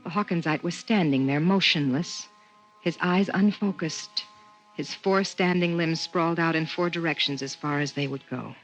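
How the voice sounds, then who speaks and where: A woman's voice: low-pitched, moderate pace. An actress playing an anxious, probing wife who tells her story in a 1950s radio drama, earnest and carefully enunciated. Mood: tense narration. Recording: muffled 1950s radio recording with music.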